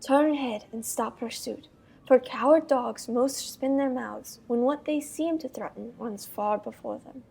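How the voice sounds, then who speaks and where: Creaky, husky woman as a proud young prince. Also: a little high, measured pace, darkish tone, heavily acted. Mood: haughty, urging. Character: proud young French prince, confident, dismissive. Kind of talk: Shakespearean radio theater acting.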